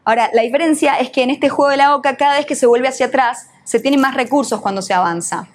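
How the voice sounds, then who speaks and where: Una mujer con acento argentino, entrenadora que da un taller en vivo y le hace preguntas al público. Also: female voice, high-pitched, fast talker, slight background noise. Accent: Argentine Spanish. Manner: questions the audience, uses voseo, teacherly. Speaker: NLP trainer and coach. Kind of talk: live workshop talk.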